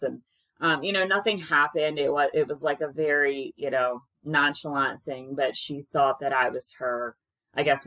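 A woman in her thirties with a strikingly deep voice that carries, speaking loudly and frankly as a caregiver offering advice. She talks to a webcam in a small room. The sound is dry, with no room echo at all. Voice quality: low-pitched voice that projects. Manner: loud, frank and conversational. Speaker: caregiver sharing experiences. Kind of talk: casual advice talk to camera. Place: small indoor room with plain wall.

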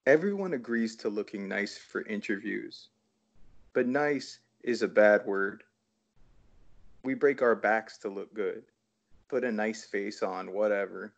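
Creaky, low-voiced man reading poems. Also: measured pace. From Toronto, Canada. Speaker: poet reading own work. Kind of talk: poetry reading. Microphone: video call. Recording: dull lo-fi video call audio.

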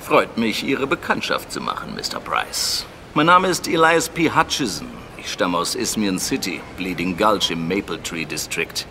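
An actor playing a genial, self-assured, worldly American adventurer in a German radio play. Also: male voice, sits low, big pitch swings, moderate pace, creaky, hardly any pauses, sharp tone, a little hiss or hum, exaggerated voice. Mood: confident.

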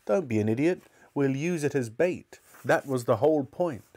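A man's voice: mid-range voice, a little slow, warm tone, lightly acted. A man voicing a brutish, selfish ship's cook in a story reading, snarling and sneering. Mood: impatient scorn.

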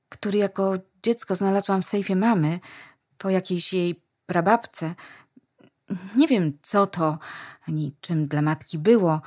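A woman playing an eager, wistful young wife in a dystopian radio play, earnest and dreamy, with a slightly husky voice. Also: fairly low pitch.

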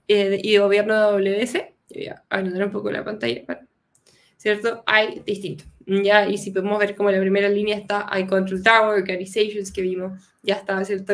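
A young woman with a Chilean accent, presenting a tech lesson on a video call, casual and chatty, with a cold. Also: medium pitch. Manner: casual, often adds "¿cierto?" as a tag. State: has a cold, coughs. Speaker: guest speaker. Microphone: webcam video call.

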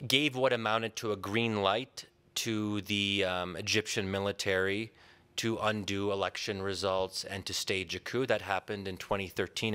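A man in his thirties from Pennsylvania with an American accent, giving a measured, thoughtful conference talk at a podium in a large hall, pausing often with ums and restarts. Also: on the low side, bright, forceful tone, clean tone. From Pennsylvania, USA. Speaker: conference speaker. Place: large hall with a seated audience. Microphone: podium microphone.

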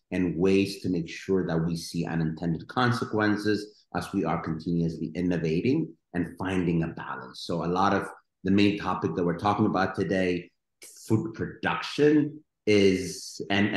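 A middle-aged man talks with lively energy as a panel guest, his voice sitting very low. The webcam recording picks up a roomy echo around him. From Ethiopia. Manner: energetic, gesturing with his hands. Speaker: guest entrepreneur and investor. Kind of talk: webinar panel answer. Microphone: video call webcam.

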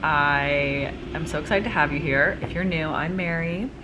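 A young woman with a deep, slightly stuffy voice chats casually to the camera in an upbeat, rambling way. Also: moderate pace, noisy background. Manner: chatty, upbeat, rambling with fillers. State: stuffy nose. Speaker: vlogger and entrepreneur. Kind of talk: casual vlog chat to camera. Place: living room by a window.

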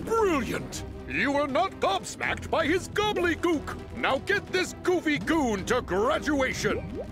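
A man playing a pompous, excitable superhero mentor in a comedy cartoon game, grandiose and declamatory. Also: very high voice, measured pace, music in the background, exaggerated voice. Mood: congratulatory. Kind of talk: animated comedy game acting.

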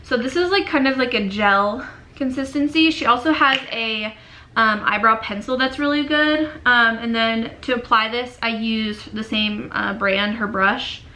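A young woman in her twenties with a cold, chatting casually through a makeup tutorial in a dim bedroom, often trailing off into quiet murmurs. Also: fairly high pitch, unhurried. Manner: chatty, filler-heavy, drifts into quiet murmurs while working. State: sick and sniffly. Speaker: beauty vlogger.

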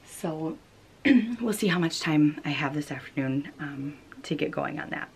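Casting: American woman, thirties, low register, casual chatty vlog host, homemade recording with slight background noise. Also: lively intonation, measured pace. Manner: chatty, informal, giggly. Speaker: knitting podcast host. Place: small wood-panelled room at home.

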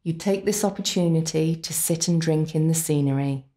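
A woman narrating a scripted guided visualisation in an unhurried, soothing way, with deliberate pauses. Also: low-pitched, dark tone. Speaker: complementary therapist leading guided relaxation.

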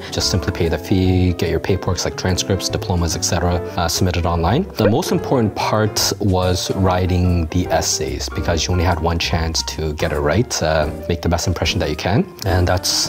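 Man in his 30s from Canada for an interview. Low voice, measured and articulate, lapel mic, music bed underneath. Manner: articulate, measured, with occasional fillers. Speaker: graduate alumnus interviewee. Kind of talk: interview answers. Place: dim studio set with bookshelves. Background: soft background music.

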